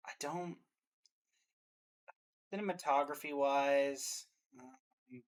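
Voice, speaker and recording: A reaction video host chatting casually, thinking out loud and changing course mid-thought. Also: mid-range voice, fairly even intonation. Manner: deliberates aloud, changes mind mid-thought.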